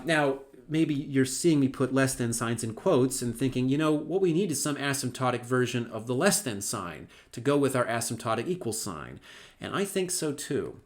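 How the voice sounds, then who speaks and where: A middle-aged man lecturing at a mid pitch for a man, in an easy conversational tone. He drops in dry, wry asides and often stops to restart a phrase with a filler. The delivery is relaxed and thinks aloud. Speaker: university lecturer. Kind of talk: recorded lecture.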